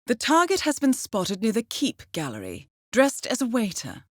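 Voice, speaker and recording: An actress playing a poised, dryly amused intelligence handler who briefs an assassin in a video game, measured and formal. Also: female voice, a little high, wildly swooping pitch, dry recording, studio quality. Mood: composed briefing. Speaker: mission handler.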